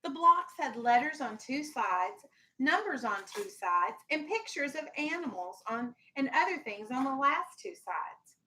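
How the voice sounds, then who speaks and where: A woman's voice: high-pitched, very animated, a little slow, sharp tone, poor audio. A woman teacher with a firm, instructive manner, reading a children's storybook aloud and talking to her class in a classroom. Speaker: elementary school teacher.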